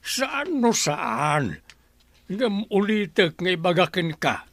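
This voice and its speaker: Man acting slow, creaky old Ilocano grandfather. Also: high-pitched, very animated, a bit bright, dry recording, heavily acted. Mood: reproachful. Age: older. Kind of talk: radio drama acting.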